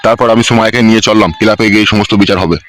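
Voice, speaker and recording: A man's voice: lowish voice, steady tone, rapid-fire, fairly bright tone, a little hiss or hum, close to an everyday voice. A man playing a blunt, scolding village uncle in a comedy cartoon, speaking colloquially with a Bengali accent. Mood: grave, plotting.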